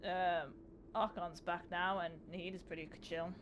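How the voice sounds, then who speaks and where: A woman's voice: on the low side, level tone, normal speed, a little hiss or hum. A woman reacting casually to a game as she plays, blunt, sweary and sarcastic. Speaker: gaming video host. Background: game music.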